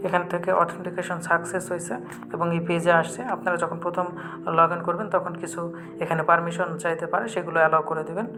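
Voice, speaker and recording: A man with a Bangladeshi accent narrates a step-by-step app tutorial at a quick clip. His delivery stays flat and instructional, with music clearly playing behind him. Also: fairly high pitch. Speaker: tech tutorial host. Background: background music.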